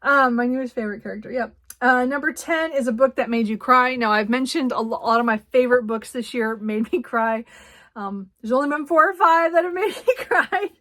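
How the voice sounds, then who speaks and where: Casting: female book vlogger, higher-pitched voice, informal and chatty, effusive and giggly, wandering delivery. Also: fairly quick, fairly bright tone. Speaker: book reviewer and vlog host. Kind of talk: casual, chatty book talk to camera.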